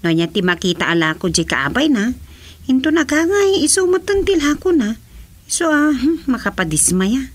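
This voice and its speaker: An actress playing a disgruntled, complaining market vendor in a radio drama, with an Ilocano Filipino accent. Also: female voice, high voice, very animated, moderate pace. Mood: aggrieved. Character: disgruntled, complaining woman vendor gossiping about a rival. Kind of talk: comedy radio drama acting.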